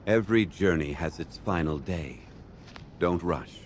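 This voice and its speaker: A man voicing a composed, wistful gentleman in a video game, speaking in a measured, solemn way. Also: sits low, animated, a little hiss or hum. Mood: calm, wistful. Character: composed, wistful gentleman, old soul in adult body. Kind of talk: scripted video game voice acting. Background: loud game music.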